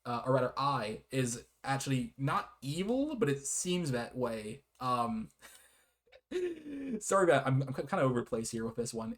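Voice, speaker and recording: A man giving a casual, rambling game review in a hesitant, apologetic manner with frequent giggles. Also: mid-range voice.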